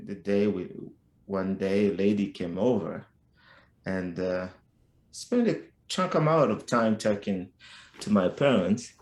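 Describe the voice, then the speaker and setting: A middle-aged man with a Haitian accent, telling his life story as a podcast guest in a slow, halting way with frequent restarts. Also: on the low side, roomy sound. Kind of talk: personal life story in conversation.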